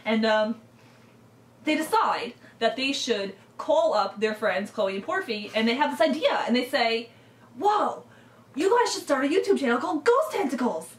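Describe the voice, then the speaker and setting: A woman co-hosting a video channel, telling a playful, improvised story in casual chat with a halting, silly manner. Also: high voice, animated. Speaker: co-host of a YouTube channel. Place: indoors, in a room set up for filming. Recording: roomy, distant mic, faint noise.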